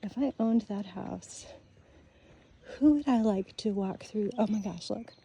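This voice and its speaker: An older woman chatting casually on a morning walk through her neighborhood, gushing and often soft and whispery. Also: medium pitch, unhurried. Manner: chatty, gushing, softly spoken with breathy whispery stretches. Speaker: vlogger. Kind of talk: casual walking vlog. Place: outdoors on a neighborhood street.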